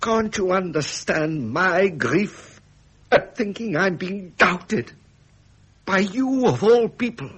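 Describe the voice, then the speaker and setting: Husky, aggrieved royal heir. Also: male voice, high voice, expressive, slow pace, a bit bright, full character voice. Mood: pleading, hurt. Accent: English upper-class. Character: frustrated, aggrieved royal heir, pleading and indignant son. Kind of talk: vintage British radio drama acting.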